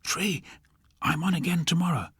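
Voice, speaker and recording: An older British man voicing a police constable in an audiobook, halting, blunt and grimly chatty. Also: a little high, fairly bright tone, husky, no room sound, studio quality, pitched above the performer's own voice. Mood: matter-of-fact.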